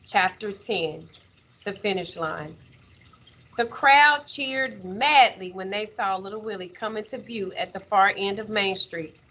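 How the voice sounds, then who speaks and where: A woman with a husky voice and a medium pitch reads a storybook aloud. She narrates slowly and expressively, like a teacher, leaving dramatic pauses between phrases. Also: fairly bright tone, rough recording.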